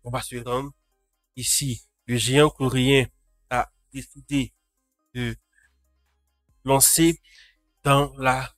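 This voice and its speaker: A man's voice: medium pitch, fairly bright tone, dry recording. A man with a cold hosting a casual live tech news roundup, greeting chat viewers by name. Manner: talks to live chat viewers, frequent restarts. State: has a cold.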